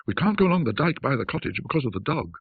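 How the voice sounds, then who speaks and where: A male narrator plays a haunted, secretive man in a ghost story, agitated yet resigned. The voice is husky and terse, with a muffled, narrow sound. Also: mid-range voice, very animated, racing, voice pushed up. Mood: tense. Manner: terse, hushed. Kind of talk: ghost story character reading. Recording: muffled band-limited audio, roomy echo.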